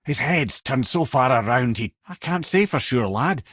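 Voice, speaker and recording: Wry old Scottish storyteller, very animated. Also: male voice, mid-range voice, normal speed, no echo, studio quality. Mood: earnest. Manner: wry, eloquent, avuncular, expressive. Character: witty old severed talking head, worldly storyteller sage. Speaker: companion and storyteller. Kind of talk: video game voice acting, storytelling.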